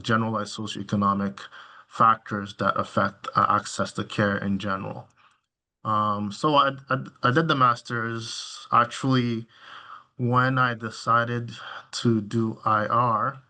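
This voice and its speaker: A man in his thirties from Nigeria, a physician giving informal interview answers in a halting, colloquial way full of fillers. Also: on the low side, bright, forceful tone. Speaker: physician, podcast guest.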